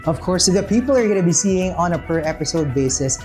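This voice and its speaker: A man's voice: high-pitched, expressive, quick pace, a little mellow. A man hosting a podcast, opening the show in an upbeat, welcoming way. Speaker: podcast host. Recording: music bed behind voice.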